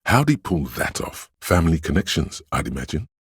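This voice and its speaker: A man voicing a wry, gossiping police officer in a video game, with a gravelly voice and a casual, sardonic way of talking. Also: a bass voice, sing-song, a little fast, no room sound, studio quality, heavily acted. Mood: dumbfounded. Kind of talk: dramatic game dialogue.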